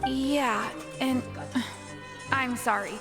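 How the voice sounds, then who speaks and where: A woman's voice: sits high, steady tone, extremely slow, full character voice. An actress playing a timid, apologetic young woman in a video game, hesitant and withdrawn. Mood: nervous, apologetic. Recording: game music underneath.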